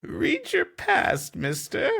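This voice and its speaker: A woman's voice: sits high, wildly swooping pitch, slow, vocal fry, fairly bright tone, pitched above the performer's own voice, full character voice. A man voicing a sleazy female fortune teller, who speaks mockingly and shrilly.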